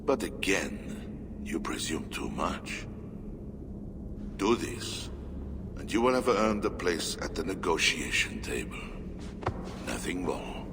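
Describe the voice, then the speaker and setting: An actor playing an imperious, pious Frankish king in a video game cutscene, scornful and brooding, speaking theatrically and deliberately with drops into whispers. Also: deep voice, sing-song, noisy background, heavily acted. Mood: haughty. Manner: theatrical, slow and deliberate, sighing and dropping to whispers. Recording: loud dramatic music underneath. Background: game score music.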